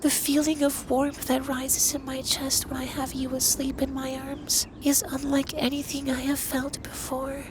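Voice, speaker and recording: A man's voice: very high voice, monotone. A man voicing a centuries-old, androgynous male dragon in an intimate ASMR roleplay, speaking formally and earnestly as a stern but caring lover. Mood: tender, reassuring. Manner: formal, earnest, deliberate phrasing. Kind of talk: ASMR roleplay, intimate scripted monologue addressed to listener. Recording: clear background noise with ambience.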